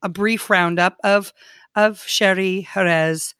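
A woman's voice: medium pitch, steady tone, slow pace, a bit bright, smooth, no room sound, clean studio sound. A woman co-hosting a podcast, explaining in a hesitant way with many fillers. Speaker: podcast co-host. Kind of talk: casual conversational chat.

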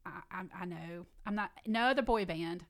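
A Southern woman co-hosting a podcast. She chats casually in an enthusiastic, animated way. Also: fairly low pitch, moderate pace. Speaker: podcast co-host. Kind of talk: casual chat.

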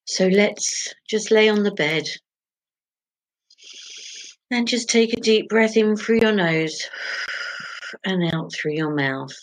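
A woman leading a guided sleep meditation in a soothing, gentle, hushed manner, pausing between short phrases. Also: medium pitch, no echo, low-quality recording. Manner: soothing, hushed, gentle instruction with long pauses.

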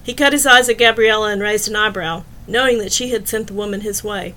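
A woman's voice: medium pitch, fairly quick, pushed and bright, low-quality recording. A woman reading scripted fiction as an audiobook narrator.

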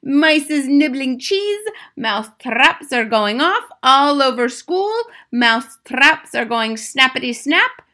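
A woman's voice: sits high, expressive, slow, pushed and bright, voice pushed up, full character voice. A woman voicing a wicked, bloodthirsty old witch leader in a storybook, commanding and triumphant, speaking in broken, foreign-sounding grammar. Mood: shrieking, gleeful.

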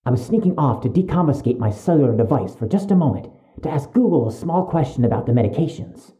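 An actor playing a suspicious young medical student in an audio drama, speaking with precise, urgent, stiffly formal wording. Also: male voice, medium pitch, animated, quick pace, mellow, lightly acted. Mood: wry, insistent.

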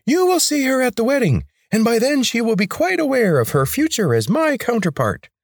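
A man voicing a ruthless male rebel leader with a high, grating voice, in an audiobook. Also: very animated, brisk, dry recording, studio quality, heavily acted. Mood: menacing. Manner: commanding, menacing.

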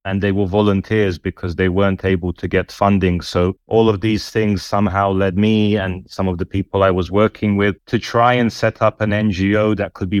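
Brief: thirties male guest, low steady delivery, measured and reflective, dry close sound. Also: no room sound. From born in former Yugoslavia, raised in the UK. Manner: measured, reflective, with some fillers. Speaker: podcast guest, nonprofit founder. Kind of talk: conversational interview answers.

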